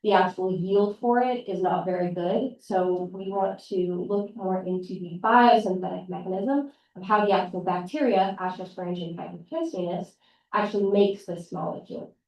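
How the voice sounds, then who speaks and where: A woman's voice: lowish voice, moderate pace, darkish tone. Young woman explaining research, roomy hall. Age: young adult. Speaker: undergraduate student presenter. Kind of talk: short research talk. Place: large campus hall. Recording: roomy hall, distant mic.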